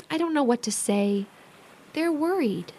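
A young American woman playing a protective, quick-tempered, devoted big sister in a modern audio drama. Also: high-pitched, lively intonation, heavily acted. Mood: earnest, worried.

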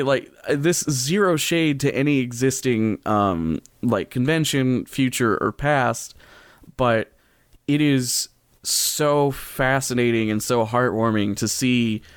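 A man's voice: mid-range voice, clear, resonant tone, dry recording, studio quality. A man hosting a casual podcast chat in a joking, wry manner. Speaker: podcast host.

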